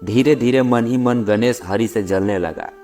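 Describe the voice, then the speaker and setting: A man with a mid-pitched voice tells a children's story. His delivery is scripted narration for a moral tale. Light music plays behind him. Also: a little slow, darkish tone. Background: background music.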